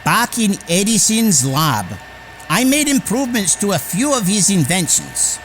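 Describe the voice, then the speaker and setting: A man acting an earnest, expressive immigrant inventor. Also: high-pitched, unhurried, heavily acted, low-quality recording. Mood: earnest narrating. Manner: formal, dramatic storytelling. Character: Nikola Tesla, earnest proud immigrant inventor narrating his life. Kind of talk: radio theater scripted narration. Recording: music under the voice.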